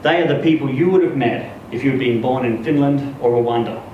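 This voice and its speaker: A man reads literary fiction to a live event with expressive, dramatic storytelling. His mid-pitched voice comes from a distance in a very echoey room, with clear background noise. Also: fairly quick, highs cut off. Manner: expressive storytelling, acts out dialogue. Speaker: story reader.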